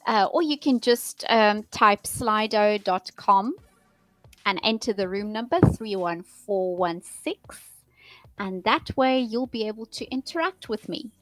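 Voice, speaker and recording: A woman from England, a teacher hosting a live stream, talking slowly and repeating phrases for learners. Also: medium pitch, animated, no room sound, low-quality recording. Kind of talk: live-stream chat with viewers.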